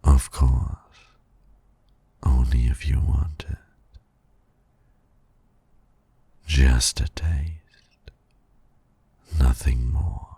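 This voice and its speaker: A man playing a suave, teasing supervillain in a flirtatious ASMR romance roleplay, gravelly and breathy, purring and often dropping to a whisper. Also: rumbling low, steady tone, extremely slow, pauses often, mellow, no room sound, exaggerated voice. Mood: coaxing, seductive. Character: suave, teasing male supervillain courting his hero nemesis.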